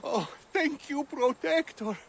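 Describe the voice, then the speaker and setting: Very high-pitched, naive young apprentice. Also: male voice, lively intonation, takes it slowly, creaky, exaggerated voice. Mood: excited, grateful. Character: grateful, excitable, naive young apprentice healer. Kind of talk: video game cutscene acting.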